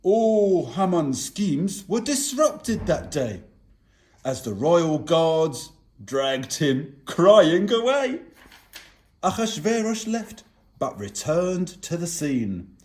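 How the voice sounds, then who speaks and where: A man with a fairly high voice narrates a children's story in rhyme. He is theatrical and very slow, with lively swings in pitch. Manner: theatrical, deliberate. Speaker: puppet show narrator and performer.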